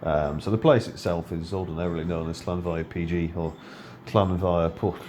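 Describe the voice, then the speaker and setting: A man's voice: sits low, steady tone, normal speed, a little mellow, slight background noise. A middle-aged man giving casual, rambling gameplay commentary, full of ums and self-corrections. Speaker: game video creator.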